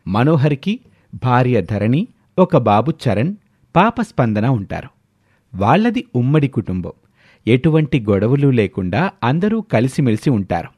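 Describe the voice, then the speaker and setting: A man narrates a moral tale in a mellow, dark-toned voice of middling pitch. The sound is very dry, with no room around it, and the delivery is scripted storytelling. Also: sing-song, unhurried, husky, dry recording.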